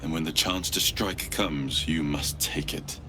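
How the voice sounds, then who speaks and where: A man's voice: very deep voice, measured pace, music in the background. A man playing a grave, learned guardian in a video game, speaking formally and solemnly. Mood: urgent, resolute. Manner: formal, solemn, portentous delivery. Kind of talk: dramatic video game dialogue.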